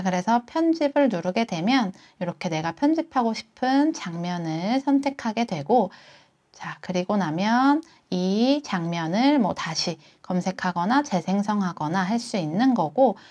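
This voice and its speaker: A woman hosting a software tutorial, walking through each step as she explains it. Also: mid-range voice. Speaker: tutorial host. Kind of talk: software tutorial, screen walkthrough.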